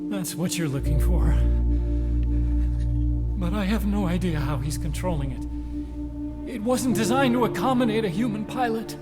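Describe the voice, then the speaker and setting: An anxious, cowardly male scientist, played by an actor speaks in a dramatic game scene. The voice rings in a long reverb, with loud music behind it. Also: high-pitched, normal speed, long pauses, close to an everyday voice. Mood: anxious confession. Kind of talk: dramatic game cutscene acting.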